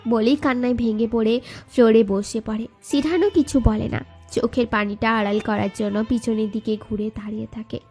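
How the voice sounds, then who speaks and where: A woman's voice: fairly high pitch, steady tone, moderate pace, mellow. A woman narrator reading a scripted romantic story. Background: background music.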